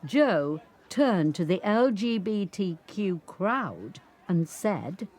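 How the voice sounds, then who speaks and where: An older woman acting an 85-year-old grandmother telling her story in a measured, deliberate dramatic monologue. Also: mid-range voice, sing-song, darkish tone. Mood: measured, grave. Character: 85-year-old grandmother recounting a family confrontation. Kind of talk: dramatic monologue, scripted narration.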